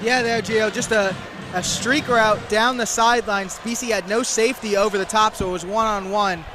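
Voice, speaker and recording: High-voiced young football analyst, man. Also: steady tone, normal speed, noisy background. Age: young adult. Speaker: student radio color commentator. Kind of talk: sports analysis commentary.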